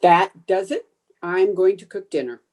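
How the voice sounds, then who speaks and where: A woman's voice: lowish voice, unhurried, darkish tone, close to an everyday voice. A woman playing a scatterbrained, stubborn, cheerful housewife in an old-time radio comedy, with theatrical, emphatic delivery. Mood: defiant.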